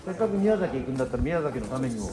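友人同士でくだけた雑談をする男性のミュージシャン。. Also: male voice, mid-range voice, very animated, very fast. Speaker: musician. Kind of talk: casual chat among friends. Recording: street recording with background chatter. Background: outdoor street crowd noise.

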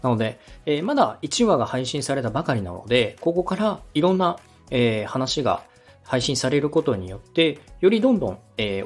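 A man hosting a podcast, politely and methodically giving encouraging production advice in a slightly husky voice. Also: mid-range voice. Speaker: podcast host and radio director giving feedback.

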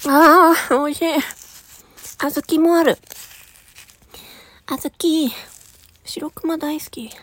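A woman with a high voice shares a casual ASMR food tasting. She talks very unhurriedly in short, murmured remarks, with pauses for mouthfuls and some near-whispered words. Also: fairly even intonation, fairly bright tone. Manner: talks while eating ice cream. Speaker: audio streamer.

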